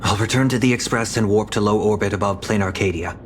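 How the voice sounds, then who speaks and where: A man voicing a grave, courteous young nobleman in a video game, speaking formally and with measured solemnity. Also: fairly low pitch, slight background noise, lightly acted. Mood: resolute.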